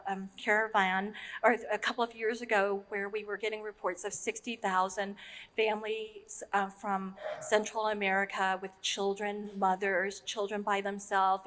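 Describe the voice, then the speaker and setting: A woman from Vietnam speaks earnestly to a gathered audience, halting now and then with ums as she recounts her story. Her voice sits in the middle of the usual female range. A long hall reverb trails behind each phrase. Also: mid-range voice, fairly bright tone. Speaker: nonprofit leader on a panel. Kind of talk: personal story told to an audience.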